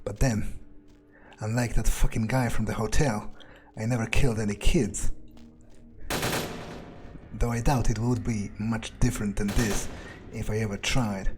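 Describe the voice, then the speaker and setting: A man's voice: lowish voice, steady tone, a little slow, close to an everyday voice. A man role-playing a cocky, ruthless vampire who muses unhurriedly and swears as he thinks aloud through a video game. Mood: cocky, amused. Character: cocky, ruthless male vampire, amused by violence. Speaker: gamer role-playing a character. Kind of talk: in-character gameplay commentary, thinking aloud. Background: game music and gunfire.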